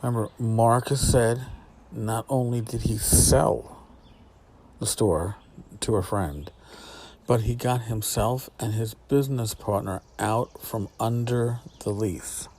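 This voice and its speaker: A man's voice: mid-range voice, slow, a little mellow. A man hosting a casual solo podcast from a back porch outdoors, talking in a blunt, wry, salty way. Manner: blunt, profane, wry commentary. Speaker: podcast host.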